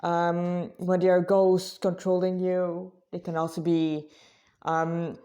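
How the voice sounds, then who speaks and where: Mellow-voiced woman in halting informal talk. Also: fairly low pitch, little pitch movement. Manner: halting, conversational, self-correcting. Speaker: religious vlogger, Krishna devotee. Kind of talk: informal spiritual talk to listeners.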